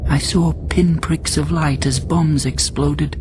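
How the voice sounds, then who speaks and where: An actor playing a lonely immortal prisoner on a space station, narrating reflectively in a measured radio drama monologue. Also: male voice, on the high side, steady tone, noisy background. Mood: reflective, haunted. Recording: loud music bed under the voice.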